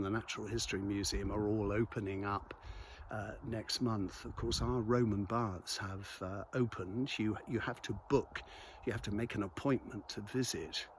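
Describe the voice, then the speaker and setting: An older man talks casually and reflectively in a halting monologue, as a local vlogger out on a walk. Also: lowish voice, slight background noise. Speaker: local video blogger and commentator. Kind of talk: casual spoken monologue to an audience while out walking.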